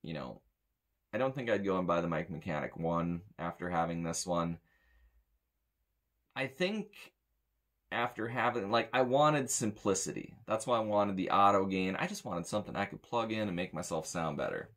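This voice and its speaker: A man's voice: low voice, lively intonation, moderate pace, fairly bright tone. An American man in his thirties giving a casual, rambling gear review as a sound tech, blunt and grumbling. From United States. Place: small indoor room with metal shelving.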